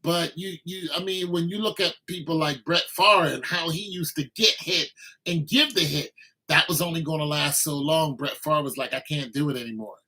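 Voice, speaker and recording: Bright-voiced man in his fifties. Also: on the high side, normal speed, poor audio. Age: 50s. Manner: laid-back, rambling, talks to viewers. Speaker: livestream host. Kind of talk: casual livestream chat.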